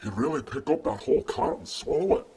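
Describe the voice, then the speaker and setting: A man playing a huge, fierce giant with a rough, booming delivery in an audio drama legend. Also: lively intonation, brisk, warm tone, slight background noise, lightly acted. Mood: taken aback. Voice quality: rough, gravelly. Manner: booming, bellowing. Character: huge fierce bellowing male giant, easily daunted.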